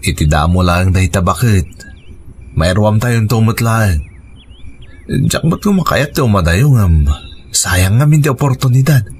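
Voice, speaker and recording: A man's voice: on the low side, lively intonation, measured pace, pauses often, a little hiss or hum. A man acting an earnest, dutiful young husband and son in an Ilocano radio drama. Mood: earnest persuading.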